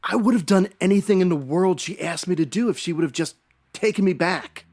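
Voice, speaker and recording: A man's voice: sits high, a little fast, darkish tone. A man playing a nervous, evasive husband under suspicion in a radio detective drama, hesitant and pleading. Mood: anguished pleading. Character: nervous, evasive husband cornered as a murder suspect. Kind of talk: old-time radio detective drama acting.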